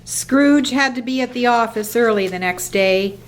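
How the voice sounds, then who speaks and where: Higher-pitched woman narrating a story. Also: normal speed, a little hiss or hum. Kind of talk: storybook narration for a radio play.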